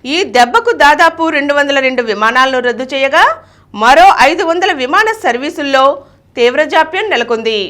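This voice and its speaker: A woman news reader delivers a scripted bulletin. Her voice sits high in pitch, and her intonation swings widely from phrase to phrase. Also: lively intonation, fairly quick, fairly bright tone.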